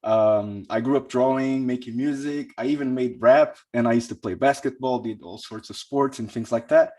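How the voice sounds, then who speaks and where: A young man from Portugal giving a conference talk about his career, speaking in a hesitant way with lots of pauses and restarts. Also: medium pitch, steady tone, dark tone. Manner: hesitant, many fillers and restarts.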